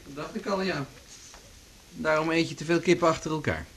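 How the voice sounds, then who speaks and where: An actor playing a gentle but excitable cellist, a coaxing and musing male partner, in a naturalistic radio drama. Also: on the high side, brisk, breathy edge. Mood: teasing, curious.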